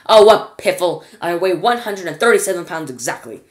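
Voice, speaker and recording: A woman's voice: on the low side, expressive, brisk, lightly acted. A woman reading aloud and voicing a cranky old grandmother who scolds and huffs at everyone. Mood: scolding. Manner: huffy, scolding.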